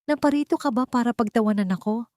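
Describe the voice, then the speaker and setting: A woman's voice: on the high side, fast talker, darkish tone, dry recording, studio quality, voice pushed up, natural voice. A woman voicing a bitter, haughty old rich woman in an audiobook, speaking with hissing contempt. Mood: bitter scorn.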